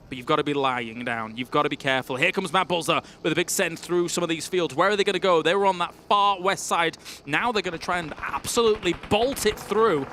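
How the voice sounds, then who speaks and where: A man casting a live esports match with excitable, playful play-by-play. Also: fairly high pitch, slight background noise. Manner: excitable, quick reactions, playful banter. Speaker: esports caster.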